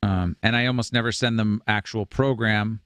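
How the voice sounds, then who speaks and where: A man's voice: on the low side, steady tone, normal speed, a bit bright, clean tone, no room sound. A man in his forties moderating a webinar in a conversational way, speaking into a close studio microphone. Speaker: host and moderator. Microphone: close broadcast mic on a boom arm.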